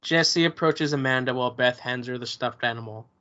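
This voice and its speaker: Narrator: male, medium pitch, reading stage directions from a script, slightly lo-fi recording. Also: brisk, pushed and bright. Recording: dull lo-fi sound.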